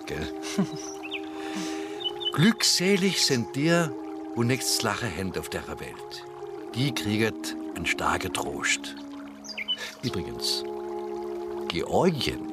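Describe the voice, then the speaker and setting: An actor playing an old, folksy, teasing Swabian man in a radio drama. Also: lowish voice. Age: older. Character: old Swabian-speaking man in Georgia, chatty and chuckling.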